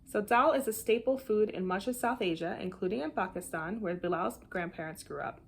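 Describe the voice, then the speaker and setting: A woman reads a children's picture book aloud with an animated, deliberate storytelling delivery. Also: medium pitch, vocal fry, slightly rough. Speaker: storybook reader.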